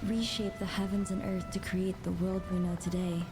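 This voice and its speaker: A woman tells a scripted tale in a formal, solemn narrator's style. Her voice sits at an average pitch. Music plays clearly behind her throughout. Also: little pitch movement, moderate pace. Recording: music under narration, played from video.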